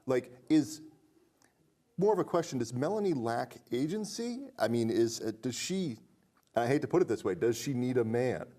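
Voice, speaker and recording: A man co-hosting a casual film discussion, analytical and animated, posing questions to his partner. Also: mid-range voice, normal speed. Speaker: podcast co-host.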